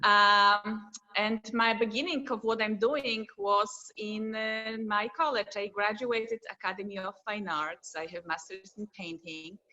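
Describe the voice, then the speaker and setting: A woman's voice: medium pitch, a little slow, few pauses, bright, forceful tone. A woman from Poland with a Polish accent, a guest artist talking casually in a video call interview, laughing often and speaking English as a second language. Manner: non-native phrasing, laughs often. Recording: dull lo-fi video call audio.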